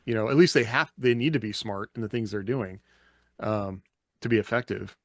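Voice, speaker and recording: A man's voice: fairly low pitch, moderate pace, no echo, studio quality. A man on a podcast panel, giving his view in casual, conversational and emphatic talk. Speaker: podcast panelist with army background. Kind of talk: casual opinionated chat.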